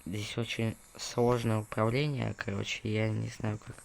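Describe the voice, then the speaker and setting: A man's voice: on the low side, flat delivery, moderate pace, gravelly, slight background noise. A young man casually commenting on his gameplay, rambling and correcting himself as he talks to viewers, recovering from a cough. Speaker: gaming video creator.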